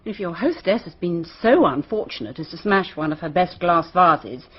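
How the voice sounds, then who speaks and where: A proper, defensive English schoolmistress in a radio mystery. Her husky English-accented voice swings expressively while staying guarded, on a slightly dull recording. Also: on the low side, animated, normal speed. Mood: prim, dismissive. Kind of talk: radio drama acting.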